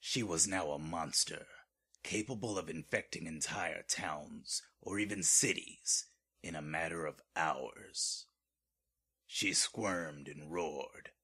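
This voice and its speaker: Male narrator for horror audio drama: very low, gravel-rough husky voice, ominous and theatrical. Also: pushed and bright. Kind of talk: scripted horror story narration.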